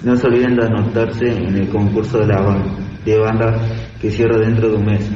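Casting: man playing rock bar owner welcoming a crowd, mid pitch, muffled, very noisy recording. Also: little pitch movement, moderate pace, natural voice. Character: bar owner announcing a rock band contest. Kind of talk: stage announcement in amateur radio drama. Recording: echoey hall, muffled, loud noise.

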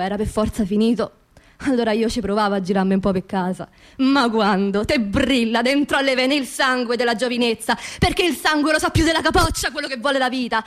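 A woman with a Roman accent performing a colloquial, theatrical radio monologue, repeating words for emphasis. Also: fairly high pitch. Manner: emphatic repetition of words and phrases. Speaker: stage actress performing her own monologue.

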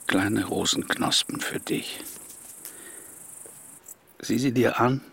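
Radio play confidant: calm, probing man, fairly low with heavy fry, unhurried deliberate phrasing. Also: full character voice. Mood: gentle, philosophical. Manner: deliberate, gentle, halting phrases. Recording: clear background noise with clicks.

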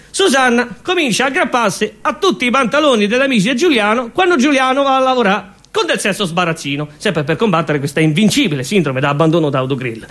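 A man, a comic stage performer delivering scripted storytelling in a deadpan, emphatic and repetitive way. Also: sits high.

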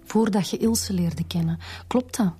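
Woman as mellow Flemish police inspector. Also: medium pitch, measured pace, faint music behind, natural voice. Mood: calm, probing. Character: matter-of-fact, diligent female police inspector. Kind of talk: Belgian radio police drama acting.

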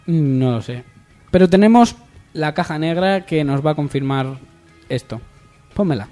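A man's voice: fairly high pitch, lively intonation, moderate pace, dark tone, soft background music. A man co-hosting a radio comedy show with mock-serious banter. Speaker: radio co-host.

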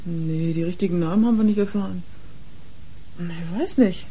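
A woman's voice: on the low side, moderate pace, warm tone, husky. A woman acting a worn, defiant young drug user who confides in a German radio crime drama. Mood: frank, remembering.

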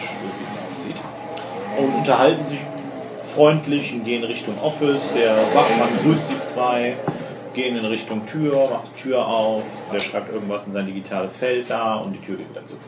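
A man running a tabletop role-playing game, narrating off the cuff and chatting with his players. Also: mid-range voice. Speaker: game master. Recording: roomy, echoey room with clear background noise.

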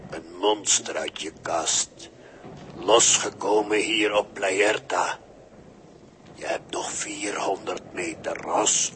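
A relentless monster born of a guilty conscience in an old radio serial. The voice is lowish and slow, ominous and accusing, with faint hiss on the vintage recording. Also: male voice, a bit bright. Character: accusing inner monster, a split-off piece of the hero's conscience. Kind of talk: 1960s Dutch radio drama acting.

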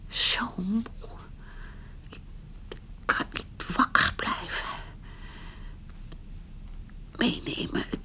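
An actress in a radio play playing an exhausted, dying old farm mother, speaking in halting fragments with a weak, breathy whisper. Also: bright, forceful tone. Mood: weary, fading. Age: older. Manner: halting, a few words at a time.

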